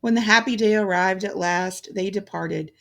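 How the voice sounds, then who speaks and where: A woman narrating a fairytale in a measured, deliberate way, with a gravelly, creaky voice. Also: mid-range voice. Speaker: storyteller.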